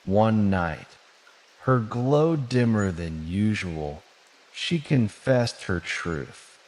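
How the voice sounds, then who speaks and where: A man narrating a soothing bedtime story in a gentle, measured, dramatic storybook manner. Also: lowish voice, lively intonation. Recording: long cavernous reverb.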